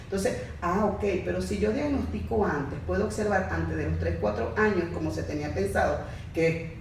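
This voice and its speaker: A woman, a course facilitator explaining on a podcast in a measured way that restates her points. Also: fairly low pitch, vocal fry, darkish tone, reverberant, slight background noise, low-quality recording.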